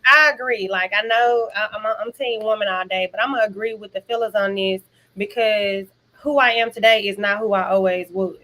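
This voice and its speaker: A woman's voice: mid-range voice, fairly even intonation, fairly quick, pushed and bright, rough recording. A woman on a podcast panel talking candidly and casually in slangy, conversational language.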